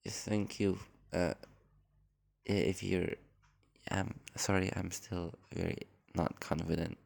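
A man talks in a low, gravelly voice. He rambles and hesitates, often apologizing and filling gaps with ums and likes. He speaks into a phone at home. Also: little pitch movement, slow pace, darkish tone. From Indonesia. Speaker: podcast host. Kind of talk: casual unscripted introduction.

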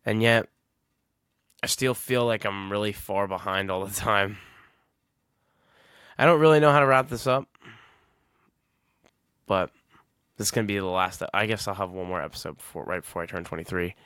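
A man's voice: on the low side, fairly even intonation, normal speed, long pauses, fairly bright tone, smooth, no echo, studio quality. A man in his twenties hosting a solo podcast, talking casually and reflectively, rambling and often correcting himself. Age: 20s. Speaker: podcast host.

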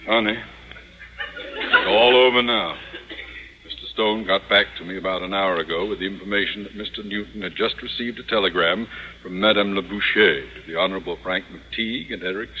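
A blustering middle-aged principal played by a man with a fairly low voice and grand theatrical delivery. He sounds self-important, in old radio comedy style. The recording is dull with clear background noise. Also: lightly acted. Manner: pompous, theatrically doleful. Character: pompous, blustering middle-aged male high school principal. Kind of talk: 1940s-50s radio sitcom acting.

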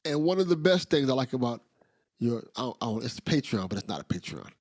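Husky-voiced man hosting a podcast. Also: mid-range voice, very animated, fast talker, darkish tone, dry recording, studio quality. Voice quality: slangy, hyping agreement. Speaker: podcast host. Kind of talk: casual interview chat.

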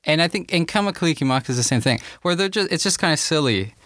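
A man's voice: a little high, expressive, fast talker, fairly bright tone, no echo. A man co-hosting a podcast, chatting casually about old songs, with a hedging manner full of "like". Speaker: podcast co-host.

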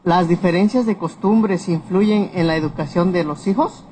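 Halting interviewer with faint background noise. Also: medium pitch, dark tone. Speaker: volunteer survey interviewer. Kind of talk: reading survey questions, somewhat halting. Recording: slightly noisy on-location interview recording.

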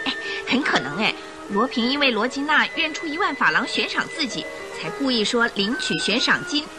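An actress in a radio drama playing an excitable, gossipy female ship passenger. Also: on the high side, a little fast, over music, rough recording. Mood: excitable gossip.

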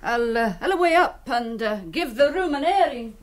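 An actress playing a kindly, mournful Scottish housekeeper in a radio drama, speaking hesitantly. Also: female voice, sits high, animated, moderate pace.

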